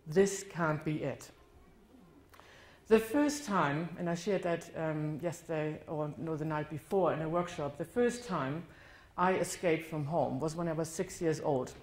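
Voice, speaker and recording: A woman's voice: lowish voice, a little mellow. A woman from northern Germany with a German accent, giving a candid, reflective talk about her life at a church, correcting herself now and then. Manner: candid, reflective storytelling with occasional self-corrections. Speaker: guest speaker. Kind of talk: personal testimony talk. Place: church hall. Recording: roomy church hall sound.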